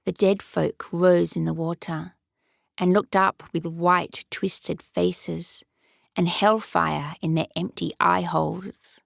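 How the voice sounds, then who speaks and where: A woman narrates a fairy tale in an unhurried, measured way. Her voice has a gravelly edge, and it is recorded dry and close. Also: fairly low pitch, flat delivery, dry recording. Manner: measured, storytelling delivery. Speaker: audiobook narrator.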